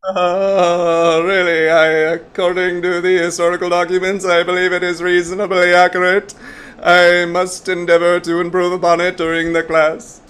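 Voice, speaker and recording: High-voiced, stilted fake human. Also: male voice, completely flat delivery, normal speed, a bit bright, clear, resonant tone. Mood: nervously formal. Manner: formal, pedantic, literal-minded. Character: eager, stilted non-human newcomer posing as a human. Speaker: new pupil in an online acting class. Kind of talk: comedy audio drama acting.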